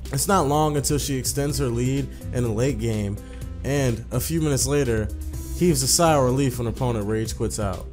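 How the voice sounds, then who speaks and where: A man casually commentating and reading a visual novel's text aloud as a let's player. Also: mid-range voice.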